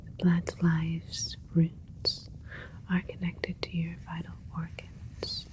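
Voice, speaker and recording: A woman playing a gentle alien scientist in a whispered ASMR sci-fi roleplay, explaining things in a soothing, clinical way. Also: on the low side, completely flat delivery, slow pace. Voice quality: breathy whisper throughout. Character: gentle alien scientist doctor, proud of a hybrid creation.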